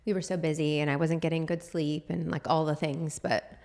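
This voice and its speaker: Slightly hoarse chatty woman podcast co-host. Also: low voice, flat delivery, brisk, darkish tone. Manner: chatty, laughs often, lots of "like". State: slightly hoarse, froggy throat. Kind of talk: casual chat.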